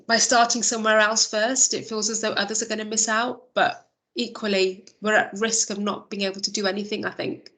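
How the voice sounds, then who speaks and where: A woman's voice: medium pitch, level tone, fairly quick, a bit bright. A woman chairing an online meeting, thinking aloud with fillers and restarts, her voice a little worn. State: losing her voice. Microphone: video call.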